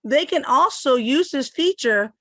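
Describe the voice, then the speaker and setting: A woman's voice: high voice, expressive, measured pace, dry recording, studio quality. A middle-aged woman from Puerto Rico giving a step-by-step tech demo at her computer, explaining each click as she goes. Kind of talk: instructional screen demo. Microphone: computer microphone.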